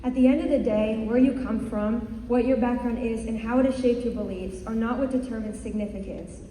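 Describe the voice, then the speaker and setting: An earnest teenage girl reads her prepared speech in measured phrases, her voice dark and mellow. She sounds set back from the mic, with a long echo from the school hall around her. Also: on the high side, fairly even intonation, slight background noise. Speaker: student candidate giving a speech. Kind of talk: prepared speech read aloud. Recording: echoey hall, distant mic.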